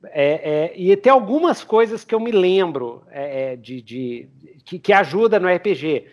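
A Brazilian man with a high-set voice hosts a casual podcast conversation. He feels his way through explanations, restarting and doubling words as he talks. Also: animated. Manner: halting, repeats words while explaining. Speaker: podcast host. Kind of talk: casual interview chat.

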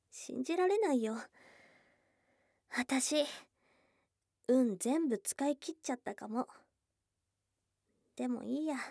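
A woman's voice: on the high side, vocal fry, mellow, no echo, studio quality. A woman voicing a shy, blunt young goblin girl in love, in an intimate ASMR voice drama with tomboyish, affectionate speech. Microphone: close dry studio mic.

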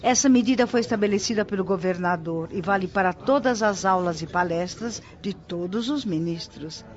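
A Brazilian actress playing a patient, helpful spirit guide in a radio drama, explaining things gently and formally. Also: female voice, medium pitch, normal speed, dull audio, close to an everyday voice. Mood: patient, explanatory.

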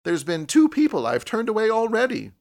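Man voicing a high, scolding landlady. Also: wildly swooping pitch, a little fast, a little mellow, heavily acted. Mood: scolding, insistent. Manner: insistent, complaining. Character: scolding, impatient landlady, poor and insistent woman. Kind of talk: audiobook character voice.

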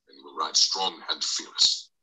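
A man's voice: a bass voice, fairly even intonation, normal speed, bright, forceful tone, full character voice, rough recording. A man voicing a terse, grave, commanding master hunter in a fantasy audiobook.

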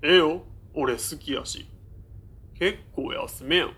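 A man's voice: on the high side, takes it slowly, bright, forceful tone, husky, heavily acted. A man playing an easygoing, blunt fifty-year-old bar musician with a Kansai accent in casual audio drama dialogue. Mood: offhand, easygoing.

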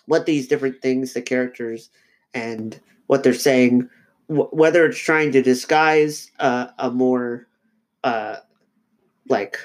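Male podcast co-host with a cold, slightly nasal; reflective, halting, full of ums and restarts. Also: medium pitch. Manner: halting, many fillers and restarts; reflective, thinking aloud. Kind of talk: casual podcast discussion.

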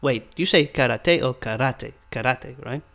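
Gravelly man hosting a chat. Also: mid-range voice, fairly bright tone. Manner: switches between English and Danish, explains words slowly. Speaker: podcast host teaching Danish. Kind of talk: casual language-learning chat.

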